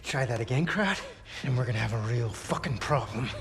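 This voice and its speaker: An actor playing a cocky, mocking American pilot held prisoner in a war game, taunting with sarcastic swagger. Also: male voice, medium pitch, expressive, fairly quick, heavy background noise, close to an everyday voice. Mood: defiant mockery. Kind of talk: cinematic war game acting. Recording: loud dramatic music behind.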